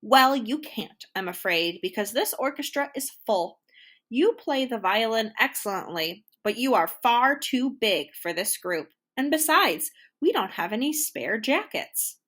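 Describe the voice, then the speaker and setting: Woman as a bossy, loud storybook orchestra conductor. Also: fairly high pitch, sing-song, a little slow, husky, full character voice. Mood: bossy, dismissive. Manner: loud, emphatic, dismissive. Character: bossy, blunt orchestra conductor of tiny creatures. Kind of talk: children's storybook read-aloud.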